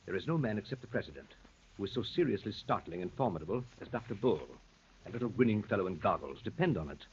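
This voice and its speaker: Stern old professor, lowish husky voice, grave sardonic delivery, 1930s radio drama, dull lo-fi recording. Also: male voice, expressive, quick pace, exaggerated voice. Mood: brisk, sardonic. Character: grim, stern old professor, a secret detective.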